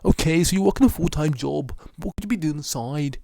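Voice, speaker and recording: A man's voice: fairly high pitch, fast talker, vocal fry, dark tone, no room sound, clean studio sound, pitched above the performer's own voice. A man voicing a pushy male motivational ranter who lectures the viewer in a raised, preachy tone. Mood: ranting.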